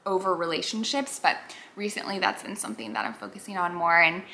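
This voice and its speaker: Bright, bubbly young woman vlogger. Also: on the low side, brisk. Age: 20s. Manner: bubbly, chatty, frequent "like" fillers and giggles. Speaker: YouTuber. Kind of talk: casual get-to-know-me vlog chat.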